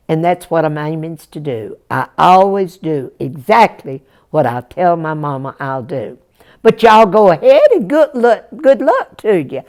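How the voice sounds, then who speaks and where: A woman's voice: sits low, a little mellow, full character voice. Woman doing an earnest farm boy. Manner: drawling backwoods talk, humble and polite.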